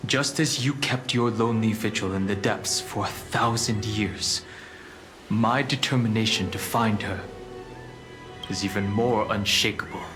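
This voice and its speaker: An actor playing a steadfast young male hero in a fantasy game, speaking in a measured, earnest, declamatory way. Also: fairly low pitch, lightly acted. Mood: solemn vow. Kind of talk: dramatic scripted game voice acting. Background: loud music.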